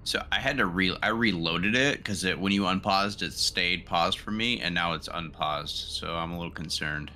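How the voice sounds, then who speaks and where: A man's voice: deep voice, moderate pace, hardly any pauses, bright, forceful tone. A man chatting casually as a player during an online tabletop game. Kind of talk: casual chat. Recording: faint background noise.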